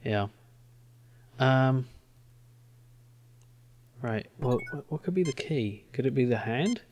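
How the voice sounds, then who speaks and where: Gamer, male, British. Slow, halting casual commentary, thinking aloud in puzzlement. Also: mid-range voice, animated, a little mellow, slight background noise. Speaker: gamer commentating a let's play.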